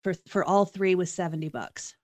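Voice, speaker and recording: A woman with bronchitis and a fairly low voice trades quips in a casual podcast. Her delivery is dry and blunt, and she swears freely. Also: normal speed. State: has bronchitis. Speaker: podcast co-host. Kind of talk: casual banter.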